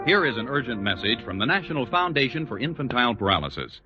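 A man's voice: medium pitch, sing-song, fast talker, over loud music. An American man giving an emphatic, polished 1940s radio advertising read as announcer. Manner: emphatic, polished salesman delivery. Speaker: commercial announcer. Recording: dull, reverberant vintage radio broadcast.

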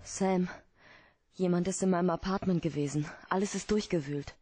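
An actress playing an anxious lady in distress in a noir radio drama, with a husky, breathy voice. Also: lowish voice, normal speed, background noise, close to an everyday voice. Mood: frightened. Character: anxious female client, seductive lady in distress.

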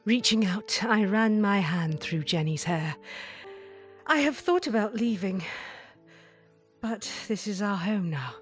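A woman acting as a dignified non-human lady tells her story in a measured, literary manner. She is tender toward her beloved and speaks at a middling pitch, with music playing underneath in this audio drama. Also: lively intonation. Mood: tender, reflective. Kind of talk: first-person narration in an audio drama.

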